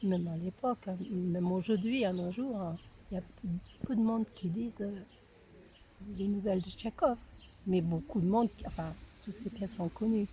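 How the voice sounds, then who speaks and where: A woman with an American accent, a guest in an interview, who speaks haltingly and fills pauses often. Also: medium pitch, mellow, a little hiss or hum, low-quality recording. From United States. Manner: hesitant, halting with many fillers. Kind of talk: casual interview.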